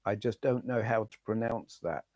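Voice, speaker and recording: A middle-aged man with a hoarse voice, chatting casually to viewers on a retro gaming live stream and reading out their names. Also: lowish voice, normal speed, darkish tone, dry recording. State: hoarse, losing his voice.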